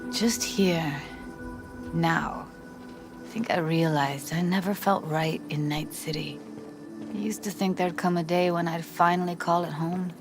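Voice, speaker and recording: An actress playing a wistful, uneasy young woman in a video game, speaking softly and hesitantly. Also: lowish voice, fairly even intonation, unhurried, over music, close to an everyday voice. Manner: hesitant, soft, reflective.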